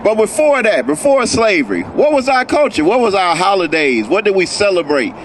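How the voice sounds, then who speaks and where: Brief: male street preacher, high voice, keeps asking "right?", loud traffic noise. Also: sing-song, a little fast. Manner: questioning, tags lines with "right?". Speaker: street teacher. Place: outdoors on a city street.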